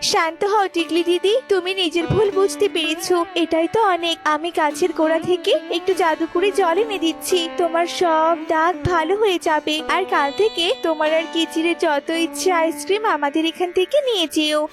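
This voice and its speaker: Lady doing a sweet mother bird in a kids cartoon, very high, calming lesson-giving voice, music. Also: normal speed, hardly any pauses. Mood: forgiving, soothing. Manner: soothing, gently moralizing. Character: kind, forgiving mother songbird.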